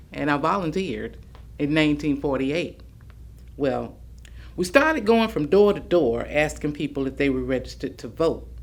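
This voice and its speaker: A woman performing a staged monologue as a plucky, persuasive older woman, telling her story in a folksy, emphatic way. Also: low-pitched. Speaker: stage actress performing oral history. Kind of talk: dramatic monologue, staged reading.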